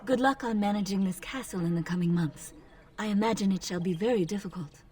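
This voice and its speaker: A woman's voice: medium pitch, fairly quick, dark tone, close to an everyday voice. Woman playing a deferential lady-in-waiting, slightly distant. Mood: subdued, polite. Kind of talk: fantasy video game acting.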